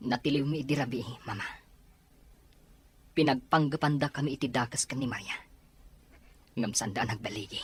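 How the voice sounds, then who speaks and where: A man's voice: mid-range voice, moderate pace, long pauses, husky. A man with an Ilocano accent playing a determined young son bent on avenging his father in an audio drama. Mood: grim. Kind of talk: Ilocano radio-style drama acting.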